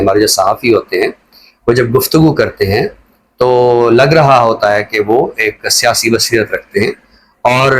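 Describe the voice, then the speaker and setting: A middle-aged man with a Pakistani accent, giving reflective talk show commentary in a measured, explanatory way like a teacher. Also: fairly low pitch, a little hiss or hum.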